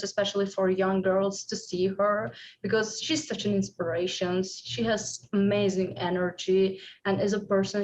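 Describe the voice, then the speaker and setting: A woman's voice: medium pitch, slight background noise. Uzbek-accented woman on a lo-fi video call. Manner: halting, many fillers, cheerful laughs. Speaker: guest chess player. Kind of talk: online class Q&A.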